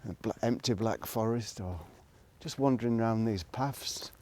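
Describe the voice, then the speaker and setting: A man's voice: on the low side, normal speed, darkish tone. A middle-aged man, rambling reflectively in a casual solo monologue, hesitant and thinking aloud as he goes. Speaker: solo video blogger.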